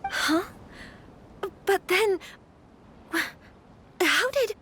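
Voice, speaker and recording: A high-voiced, gentle young noblewoman. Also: animated, drawn-out words, slight background noise, exaggerated voice. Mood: surprised, flustered. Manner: polite, hesitant, sighing. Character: gentle, earnest young noblewoman, hesitant bride-to-be. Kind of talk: dubbed video game dialogue.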